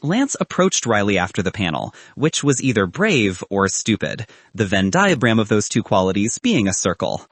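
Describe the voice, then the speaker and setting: Male narrator for contemporary fiction. Husky, wry and animated, recorded dry. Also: fairly low pitch, moderate pace, hardly any pauses, no room sound. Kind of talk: scripted audiobook narration.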